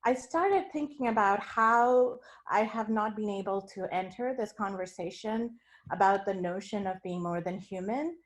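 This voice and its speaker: Woman from India, keynote speaker, earnest and reflective, video call, mid-pitched voice. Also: moderate pace, poor audio. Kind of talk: academic talk sharing her screen.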